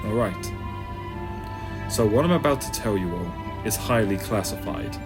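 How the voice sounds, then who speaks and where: Starship captain, male, played by an actor: commanding, lowish register, audio drama with music underneath. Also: expressive, moderate pace, lightly acted. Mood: commanding, measured. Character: authoritative starship captain addressing his crew. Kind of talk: science fiction audio drama acting.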